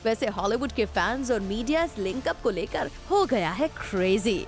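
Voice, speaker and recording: A woman's voice: a little high, expressive, moderate pace, soft background music. A woman reading a scripted entertainment news report with a dramatic, questioning delivery.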